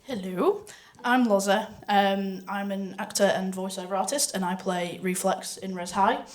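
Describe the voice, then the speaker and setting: A woman's voice: medium pitch, fairly even intonation, measured pace. A woman, a voice actor, casually introducing herself on a live festival panel. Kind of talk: casual self-introduction to an audience.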